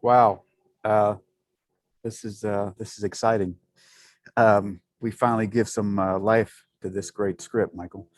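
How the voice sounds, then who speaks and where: Upbeat low-voiced man on a call. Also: steady tone, a little slow, no echo. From Pittsburgh, USA. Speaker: actor. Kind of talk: casual video call chat. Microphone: video call.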